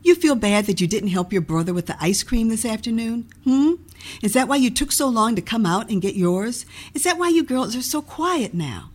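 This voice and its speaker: A woman voicing a stern, brisk mother of a large family from a storybook. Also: on the high side, lively intonation, voice pushed up.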